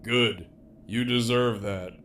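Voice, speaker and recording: An actor playing a cocky, sardonic imprisoned villain in an audio drama, with a gravelly, drawling delivery and a taste for profanity. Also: male voice, medium pitch, steady tone, slow pace, a bit bright. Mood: wry. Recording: faint background noise.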